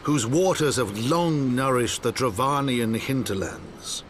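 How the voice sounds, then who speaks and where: An actor playing a solemn storyteller, narrating a fantasy video game in stately, poetic phrases. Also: male voice, medium pitch, expressive, unhurried, a bit bright, slight background noise.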